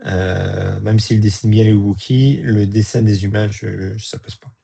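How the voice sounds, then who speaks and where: A man's voice: fairly low pitch, steady tone, measured pace, gravelly, mellow, breathy edge. A man with a European French accent giving blunt opinions as a guest in a casual review chat.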